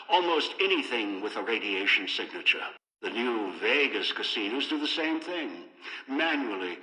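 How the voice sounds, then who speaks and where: An actor playing a cold, obsessive, manipulative older man in a video game, lecturing with deliberate menace. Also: on the low side, bright, forceful tone, heavily acted. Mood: cold, explanatory. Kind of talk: video game character dialogue. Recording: echoey hall reverb, radio-like.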